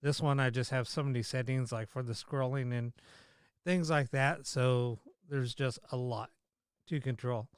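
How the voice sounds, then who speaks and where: A man hosting a paranormal livestream, chatting casually with many fillers and restarts, his voice slightly hoarse. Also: mid-range voice, steady tone, unhurried, no room sound, studio quality. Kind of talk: casual live-stream chat.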